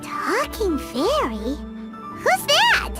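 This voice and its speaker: A woman voicing a small, excitable, chatty fairy companion with a squeaky childlike voice in an anime game. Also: very slow, full character voice. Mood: puzzled. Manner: perky, speaks of self in third person. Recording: loud music behind. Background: music.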